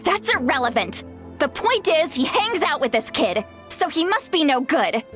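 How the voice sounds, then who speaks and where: Game granny: elderly woman, high and very animated, hot-tempered, scolding yet caring, exasperated delivery. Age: older. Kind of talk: video game voice acting.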